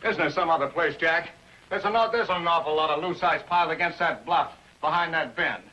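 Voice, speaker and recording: A man's voice: a little high, a little fast, sharp tone, highs cut off. An actor playing a nervous, hesitant outlaw henchman in a 1950s TV adventure. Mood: uneasy.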